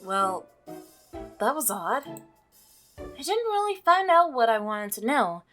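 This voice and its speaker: A woman's voice: fairly high pitch, big pitch swings, takes it slowly, a bit bright, soft background music, heavily acted. A woman voicing a polite, inquisitive young female neighbor who narrates a visual novel. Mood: puzzled.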